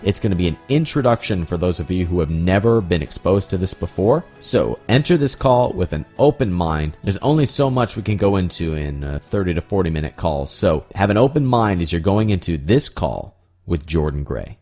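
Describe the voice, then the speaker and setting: Upbeat guy hosting a podcast, kinda low voice, faint music behind. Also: lively intonation, normal speed, mellow. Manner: upbeat, motivational, laughs easily. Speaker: podcast host. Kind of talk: scripted intro, then interview questions.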